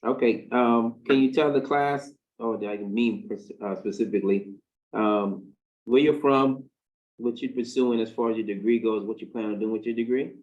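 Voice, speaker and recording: A man teaching a university class, briskly prompting students to introduce themselves in an informal way. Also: medium pitch, fairly even intonation, darkish tone. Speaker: university instructor. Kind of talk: leading class introductions on a video call. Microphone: video call. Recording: tinny video-call audio.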